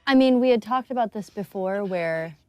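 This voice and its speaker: A woman giving casual, animated commentary on a scene she acted. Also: medium pitch, normal speed, no room sound.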